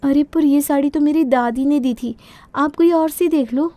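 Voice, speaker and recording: Woman as a meek, high-voiced young bride. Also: moderate pace, mellow, no room sound, natural voice. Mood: timid, puzzled. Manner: polite, mildly protesting.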